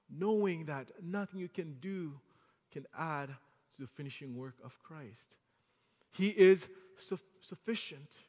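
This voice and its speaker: Haitian guy w french accent preaching, kinda high voice, long reverb off the sanctuary walls. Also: fairly bright tone. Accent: French-accented English. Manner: earnest, rising to fervent exhortation. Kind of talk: sermon. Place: church sanctuary.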